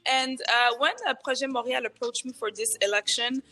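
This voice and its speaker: Earnest bright-voiced Congolese-born woman. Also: fairly high pitch, fairly even intonation. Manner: earnest, halting with repeated restarts. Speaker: borough mayor, guest. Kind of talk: radio interview conversation. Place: radio studio.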